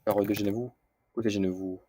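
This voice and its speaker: A man with a fairly low voice talks through exercises offhandedly, muttering his thoughts as he works. The recording has noticeable background noise, with keys and clicks behind him. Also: steady tone, rapid-fire, mellow. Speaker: host of a language-learning series. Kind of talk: casual commentary while doing lessons on screen. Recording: clearly noisy home recording.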